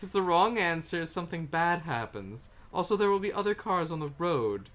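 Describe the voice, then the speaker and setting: A person chatting casually while playing a game and reading out its text, animated, sweary and easily amused. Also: mid-range voice, a little fast. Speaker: player and fan dub voice reader. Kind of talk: casual gaming chat mixed with reading tutorial text.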